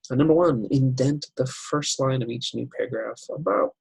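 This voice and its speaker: A man teaching an English writing lesson over slides, unhurried and step by step, with many fillers. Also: mid-range voice, vocal fry, slightly rough, no room sound, poor audio.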